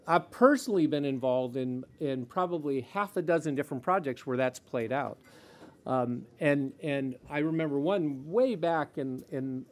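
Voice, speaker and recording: A man speaking on a conference panel, emphatic and animated, restarting phrases as he goes. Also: medium pitch. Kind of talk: panel talk. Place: conference hall.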